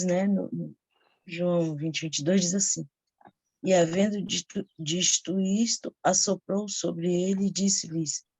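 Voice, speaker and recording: A Brazilian woman commenting informally on scripture as a participant in a devotional broadcast, halting as she searches for words. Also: fairly low pitch, gravelly, a little mellow, dry recording, low-quality recording.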